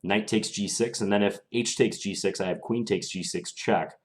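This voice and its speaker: A young man streaming live, commentating his chess games and answering viewer questions in a relaxed, thinking-aloud way, his voice slightly strained. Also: fairly low pitch, normal speed.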